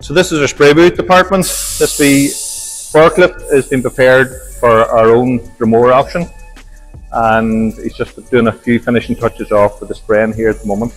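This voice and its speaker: A man's voice: mid-range voice, low-quality recording. Guy showing round a big workshop, matter of fact, some music faint in the back. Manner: plain, practical, explaining step by step. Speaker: workshop manager giving a tour. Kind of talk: walking tour explanation. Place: large industrial workshop indoors.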